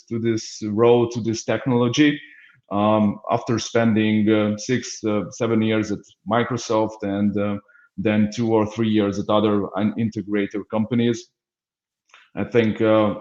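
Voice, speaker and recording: A man's voice: lowish voice, darkish tone, roomy sound, dull audio. A man with a Hungarian accent opening a business webinar on a video call, speaking hesitantly with many fillers and restarts. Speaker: business development manager. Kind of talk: webinar introduction.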